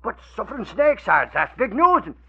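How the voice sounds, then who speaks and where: An excitable Irishman blusters through an old radio serial. His voice rides high and leaps about in pitch. The sound is dull and aged, and he talks in folksy, flustered exclamations. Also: moderate pace, gravelly, slightly rough, heavily acted. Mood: excited. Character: blustery, excitable Irish man, comic sidekick, easily flustered. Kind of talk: 1940s radio acting. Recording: muffled old radio recording.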